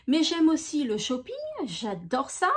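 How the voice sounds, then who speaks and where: Slow-talking high-voiced French woman. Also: big pitch swings. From France. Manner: slow, gentle and chatty, with many hesitations. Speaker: YouTube crafts channel host. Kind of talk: casual FAQ video answering viewer questions.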